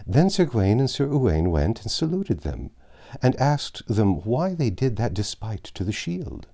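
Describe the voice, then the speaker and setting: A man reading a classic novel aloud, animated and shifting between the narrator and the characters. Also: fairly low pitch, a little slow, creaky. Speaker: audiobook reader.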